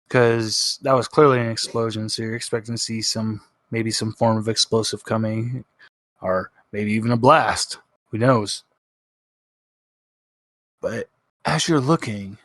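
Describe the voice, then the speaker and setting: A man's voice: mid-range voice, no echo. A man narrating as game master in a tabletop roleplaying game, improvising with emphatic repeats.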